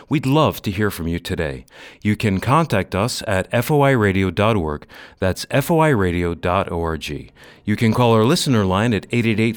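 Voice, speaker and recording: A man announcing in a scripted radio program style, as the show's producer. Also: on the low side. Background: music under the voice.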